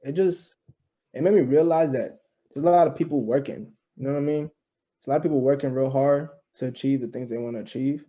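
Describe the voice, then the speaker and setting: A man's voice: medium pitch, fairly quick, warm tone. A man in his early twenties, a musician guest on a podcast, talking in a loose, slangy way and often adding "you know what I'm saying.". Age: 20s. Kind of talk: casual interview chat.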